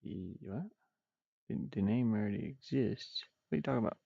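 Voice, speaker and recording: Man, lowish gravelly voice, unhurried casual muttering, think-aloud streamer, dry dull recording. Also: mellow, dry recording. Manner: rambling, muttering to himself. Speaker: live streamer and indie game developer. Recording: dull, muffled, dry lo-fi microphone.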